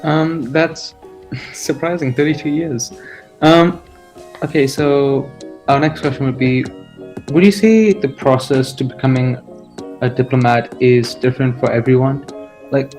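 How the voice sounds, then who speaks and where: Young guy student interviewer, mid-pitched voice, casual and a bit unsure, faint music behind. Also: fairly even intonation, unhurried, a little mellow. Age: young adult. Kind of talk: reading prepared interview questions.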